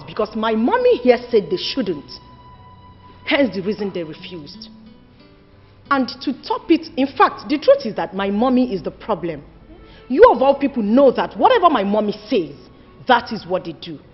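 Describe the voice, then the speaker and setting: A woman's voice: on the high side, very animated, moderate pace, dull audio, soft background music. A woman with a Nigerian accent playing an outspoken, indignant daughter who scolds her family with repeated questions in a film drama. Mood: aggrieved, pleading. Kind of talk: heated film drama acting.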